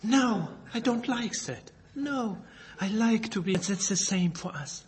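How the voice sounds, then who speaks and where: A man from Belgium, a native French speaker with a Belgian French accent, preaching and reading scripture in halting English, searching for words and correcting himself. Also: sits high, animated, slight background noise. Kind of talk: informal Bible sermon with scripture reading.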